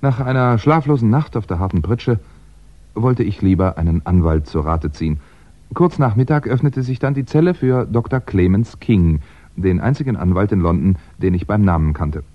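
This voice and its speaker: Very deep-voiced, wry crime writer. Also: male voice, expressive. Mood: wry, composed. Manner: measured, conversational storytelling with hesitant asides. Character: wry, composed male crime writer recounting his predicament. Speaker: actor playing narrator and lead. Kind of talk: 1960s German radio crime drama. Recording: dull, band-limited 1960s radio recording.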